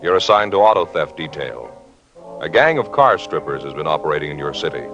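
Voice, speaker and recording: Deep, solemn old-time radio narrator, male. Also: sing-song, fairly quick, a bit bright. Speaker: radio announcer. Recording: muffled old radio broadcast, music behind.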